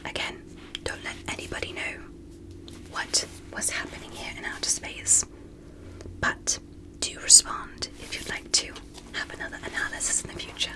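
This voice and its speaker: A woman playing a polite, gentle alien doctor in an ASMR medical roleplay, speaking in a careful, breathy whisper. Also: natural voice, poor audio. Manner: slow, careful, softly reassuring.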